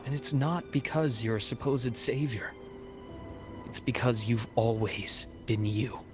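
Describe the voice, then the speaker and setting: An actor playing a calm, steadfast male companion in a video game, speaking in a measured, earnest and reassuring way. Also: mid-range voice, natural voice. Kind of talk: video game cutscene voice acting. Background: background music.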